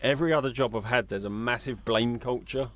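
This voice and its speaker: A man's voice: mid-range voice, fairly quick. Casual man chatting on a podcast. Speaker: podcast co-host. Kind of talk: casual conversational podcast chat.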